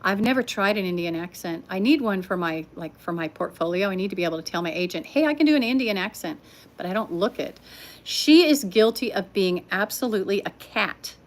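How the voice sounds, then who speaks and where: A woman's voice: mid-range voice, expressive, fairly quick. An older woman telling stories at home on a livestream, chatting with viewers and laughing often. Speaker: livestream storyteller. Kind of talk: casual livestream chat. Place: home living room.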